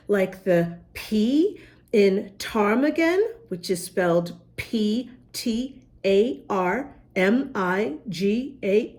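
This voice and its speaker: A woman reading a picture book aloud to young children, talking directly to them and carefully sounding out words. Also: medium pitch. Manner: animated, addresses young listeners, spells words out letter by letter. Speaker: children's story reader, host.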